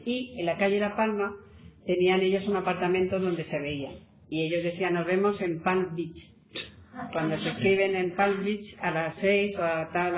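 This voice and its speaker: A woman author presenting her book in relaxed conversation, talking in an animated way with many fillers and restarts. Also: fairly low pitch. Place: meeting hall. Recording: echoey hall, dull lo-fi sound.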